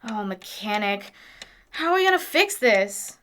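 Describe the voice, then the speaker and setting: A woman's voice: mid-range voice, very animated, unhurried, pushed and bright, a little hiss or hum. A woman playing a nerdy, caring young engineer in an audio drama, talking in a casual, exclamatory, teasing way. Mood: amazed, fretting.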